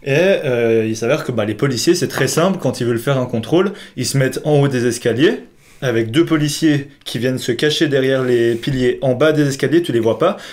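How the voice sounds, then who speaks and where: A man's voice: medium pitch, fairly quick, darkish tone. A young man co-hosting a podcast, casually telling an anecdote to a friend in slangy, laughing bursts. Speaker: podcast co-host.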